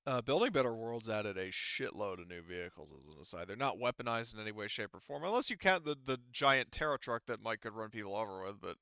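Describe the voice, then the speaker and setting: A man runs a tabletop game in a smooth, bright voice. He thinks aloud as he makes casual rulings, often pausing and restarting. The sound is dry but a little muffled at the top. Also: lowish voice, very animated, no room sound. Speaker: game master.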